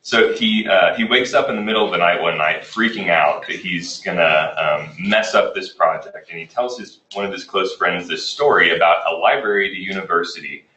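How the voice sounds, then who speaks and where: A man leading a workshop, a little raspy from a cold, talking in a relaxed conversational way with fillers. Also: low voice, moderate pace, hardly any pauses, muffled recording. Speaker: workshop presenter, instructional design manager. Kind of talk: workshop presentation. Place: classroom or meeting room. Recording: echoey room, voice from far off.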